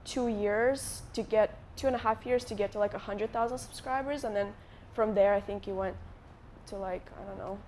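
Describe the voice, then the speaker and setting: A woman's voice: mid-range voice, moderate pace, darkish tone. Young woman from moldova chatting away onstage, lots of likes and restarts, bit of background hiss. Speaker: guest YouTuber. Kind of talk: casual onstage interview. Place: conference stage before a live audience.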